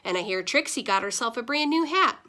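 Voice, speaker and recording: A woman's voice: on the high side, animated, brisk, sharp tone. A woman voicing a wise, upbeat grandmother who answers a boy's questions with folksy reassurance. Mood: cheerful.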